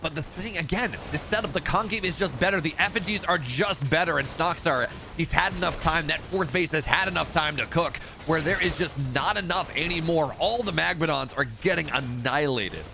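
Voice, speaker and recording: A man casting a live strategy game match, excitable in the fights and relaxed and rambling when he chats with viewers. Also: on the high side, fairly quick, creaky, few pauses, over music. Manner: excitable play-by-play, rising in big fights, relaxed and rambling between games. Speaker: esports caster. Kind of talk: live game commentary and chat reading.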